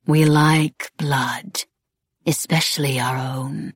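A woman playing a cruel, imperious blood witch in an audiobook, speaking with slow, deliberate disdain. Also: low voice, fairly even intonation, slightly rough, no echo, full character voice. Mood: cold menace. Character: cold, cruel, commanding blood witch matriarch.

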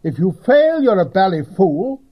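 High, swooping posh English hare. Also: male voice, sing-song, a little slow, breathy edge, lo-fi sound, exaggerated voice. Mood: breezy. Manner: jaunty, plummy old-fashioned slang. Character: jolly, gallant male military hare, upper-class chap.

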